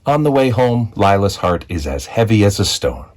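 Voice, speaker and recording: A man reading a children's picture book aloud as a storyteller, with expressive narration and deliberate dramatic phrasing. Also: lowish voice, a little hiss or hum. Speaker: read-aloud storyteller, school executive.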